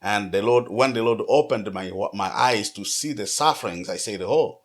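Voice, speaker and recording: This is a middle-aged pastor from Congo with a very low voice, speaking as an interview guest. He tells his story earnestly and repeats words when he restarts a sentence. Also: normal speed. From Democratic Republic of the Congo. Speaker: pastor and nonprofit founder.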